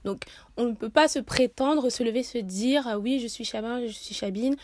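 A woman's voice: on the high side, normal speed, darkish tone, no echo. A young woman hosting a podcast interview, asking long, earnest questions with frequent fillers. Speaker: podcast host.

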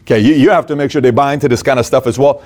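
A man's voice: mid-range voice, steady tone, very fast, darkish tone. A man speaking as a motivational business coach, conversational yet emphatic, using punchy short phrases and rhetorical questions.

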